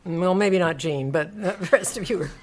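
Older female community radio host, slightly low pitch, chatting casually with a group. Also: normal speed, slight background noise.

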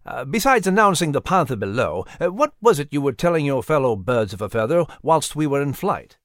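A man's voice: medium pitch, very animated, a little fast, no room sound, clean studio sound, natural voice. A man voicing a small, polite mouse with a formal, fussy way of talking.

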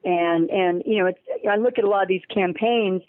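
A woman's voice: medium pitch, normal speed, dry recording. Woman guest on a tinny phone line. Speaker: interview guest. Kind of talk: casual spoken pitch for a program.

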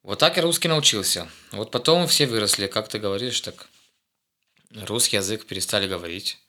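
Low bright-voiced young man, halting. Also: a little hiss or hum. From Israel. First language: Hebrew. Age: young adult. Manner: halting, searching for words, many restarts. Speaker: guest, host's eldest son. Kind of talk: casual conversation.

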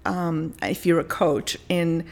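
South African woman, 60s, low gravelly voice, chatty coach, laughs easily. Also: fairly even intonation, slow pace. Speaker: life and leadership coach. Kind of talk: podcast interview.

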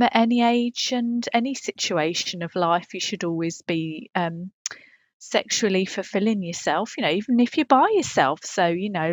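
A woman's voice: medium pitch, no room sound. A woman co-hosting a casual comedic podcast over a remote call, speaking in a hesitant, matter-of-fact, tactful way. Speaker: podcast co-host. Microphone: remote call from separate location.

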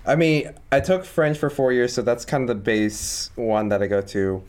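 A teenage boy whose voice is still breaking, chatting haltingly with fillers as a tabletop game player. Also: mid-range voice, animated, darkish tone. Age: teens. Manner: halting, giggly, many fillers. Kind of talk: casual tabletop game chat.

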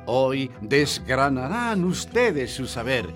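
An actor playing a showy, formal and polite radio quiz show host in a comic radio play. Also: male voice, mid-range voice, big pitch swings, takes it slowly, music in the background. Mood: showy, announcing. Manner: theatrical, formal announcing with polite interjections. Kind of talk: comic radio theatre, announcer patter.

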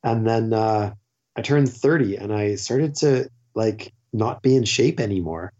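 A Canadian man in his forties tells stories in a loose, rambling way, full of fillers and restarts. His voice is dark and mellow, and the recording is a little muffled. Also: fairly low pitch, a little slow. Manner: rambling, self-interrupting, with frequent fillers and restarts. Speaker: podcast guest; teacher. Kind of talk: casual conversational storytelling.

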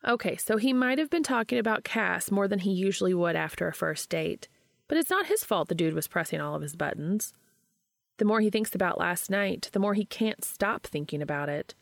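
A woman narrating fiction as an audiobook reader, in an expressive storytelling style. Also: medium pitch, a little fast, creaky, no echo, studio quality.

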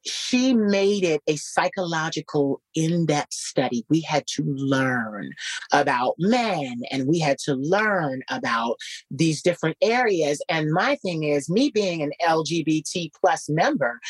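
A man with a naturally light voice, hoarse today and a little husky, telling his story animatedly as a guest. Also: measured pace, no echo. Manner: animated, repeats phrases, jumps high for quoted voices. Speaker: guest musician. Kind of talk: casual interview storytelling.

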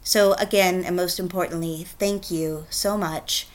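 A woman's voice: on the low side, fairly even intonation, measured pace. A woman voice actor speaking casually as herself in an announcement to podcast listeners.

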